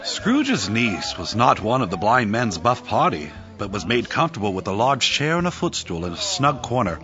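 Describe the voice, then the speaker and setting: A man's voice: fairly low pitch, very animated, moderate pace. A man reading scripted narration for a dramatised classic story. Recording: dull audio with music behind.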